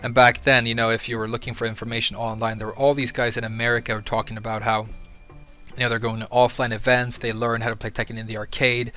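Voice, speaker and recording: A man's voice: medium pitch, little pitch movement, quick pace, sharp tone, soft background music. A young man from Sweden talking candidly to camera as a video creator, earnest and a little hesitant with fillers. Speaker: YouTuber. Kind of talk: casual talk to camera.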